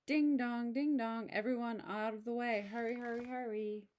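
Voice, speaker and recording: Woman acting out urgent storybook firemen, raised pitch. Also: steady tone, normal speed, a little mellow, highs cut off, lightly acted. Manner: urgent, calling out.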